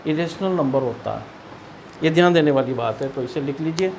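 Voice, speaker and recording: Indian guy teaching maths, pretty high voice, asks questions then answers them, bit of noise behind. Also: lively intonation, moderate pace, vocal fry, a little mellow, low-quality recording. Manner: asks and answers own questions, repeats key points. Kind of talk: explanatory classroom-style maths lesson.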